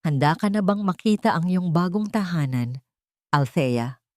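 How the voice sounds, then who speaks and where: A devoted male lover played by a woman narrator coaxes and reassures tenderly in an audio romance. The voice is lowish with lively intonation. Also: unhurried, dry recording, clean studio sound. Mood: tender anticipation. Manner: tender, coaxing. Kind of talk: dramatic audio storytelling.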